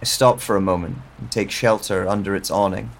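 A man narrating a first-person audio drama in a slow, hushed, introspective way, over a music score and the sound of rain. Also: fairly low pitch, steady tone.

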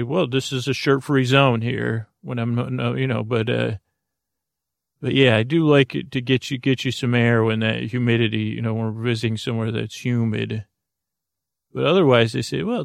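A man hosting a podcast, rambling through a playful, meandering intro full of restarts and deadpan jokes. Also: mid-range voice, gravelly, dry recording, studio quality. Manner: meandering, self-interrupting, playful deadpan humor. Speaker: podcast host.

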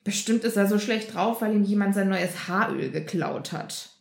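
A woman voicing a cheeky teenage schoolboy in a fan audio story, pitching her voice lower in a grumbling delivery. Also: normal speed, creaky, echoey room, lightly acted.

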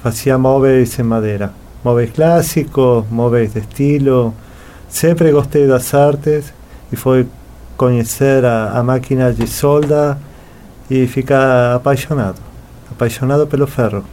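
A man's voice: mid-range voice, unhurried, mellow, a little hiss or hum. A middle-aged man from Argentina, a native Spanish speaker with an Argentine accent, answering as an artist in a conversational interview. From Buenos Aires, Argentina. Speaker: interview guest, artist and sculptor.

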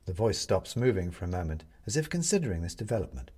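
A male audiobook narrator reads a scripted tale dramatically. His delivery is expressive and very varied in pitch, with a slightly husky edge to the voice. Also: fast talker.

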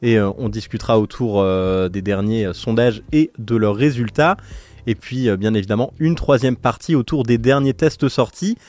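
A man's voice: medium pitch, moderate pace, a little mellow, no echo. A man hosting a podcast casually, with a hesitant, stop-and-start delivery. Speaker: podcast host.

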